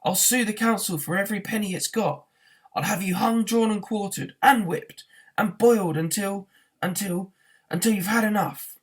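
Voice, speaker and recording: Man acting an outraged, yelling man, pitched high. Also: moderate pace, breathy edge. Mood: raging. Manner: shouting, indignant, spluttering. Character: outraged, panicking man yelling as his house is demolished. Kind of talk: storybook character voice.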